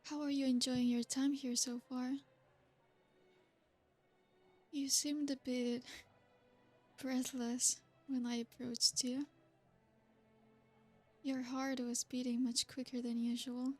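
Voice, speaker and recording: Woman voicing a soft-spoken ancient vampire lady. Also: high-pitched, slow, long pauses, soft background music. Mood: predatory. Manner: soft, formal and flattering. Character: ancient aristocratic female vampire, seductive and possessive. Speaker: ASMR roleplay performer. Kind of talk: ASMR roleplay.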